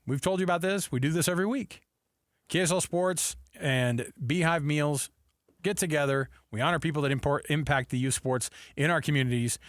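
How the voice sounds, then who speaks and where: A man's voice: a little high, a bit bright, no room sound. A man hosting a sports radio show in a casual, folksy, chatty way, close on a studio microphone.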